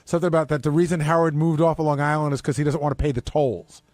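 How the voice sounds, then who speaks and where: A man's voice: fairly high pitch, fairly even intonation, quick pace, darkish tone, no echo, clean studio sound. A man co-hosting a radio show in casual, opinionated talk.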